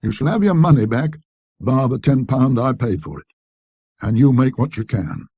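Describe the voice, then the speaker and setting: Man playing a husky, blustering antique dealer. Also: medium pitch, lively intonation, normal speed, dark tone, no room sound, pitched above the performer's own voice. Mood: indignant, defensive. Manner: colloquial, self-justifying, rambling. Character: rattled, talkative, blustering male antique dealer.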